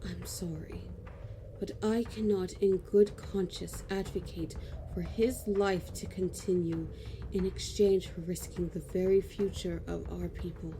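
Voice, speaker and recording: Woman playing a slow, composed elf queen. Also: fairly low pitch, fairly even intonation, warm tone, slight background noise, low-quality recording. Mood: regretful, firm. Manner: measured, formal. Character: composed, regretful but firm elf queen. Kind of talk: fantasy audio drama acting.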